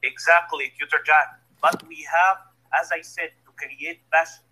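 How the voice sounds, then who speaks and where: A man with an Egyptian accent comes through a tinny phone line. His voice is high-pitched, very bright and husky. He answers in a polite, agreeable way. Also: steady tone, normal speed. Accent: Egyptian Arabic. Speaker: English learner and lesson leader.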